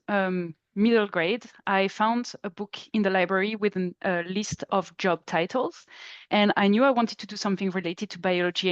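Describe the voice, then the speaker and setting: A woman's voice: mid-range voice, no room sound. A woman in her thirties from France with a French accent, introducing herself chattily as a guest speaker in a webinar. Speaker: guest speaker, statistical scientist. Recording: slightly dull lo-fi call audio.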